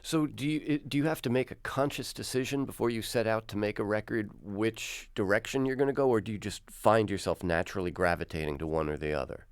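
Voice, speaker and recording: A man's voice: on the low side, fast talker, few pauses, clear, resonant tone, no room sound. An older man hosting a radio interview in a studio, asking curious questions. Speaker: radio host. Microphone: studio mic with headphones.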